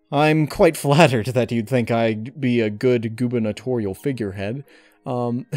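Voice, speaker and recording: Halting, wordy man over music. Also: mid-range voice, a little mellow, dry recording. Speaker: interviewee, audio story reader. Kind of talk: casual interview answers. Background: music behind the voice.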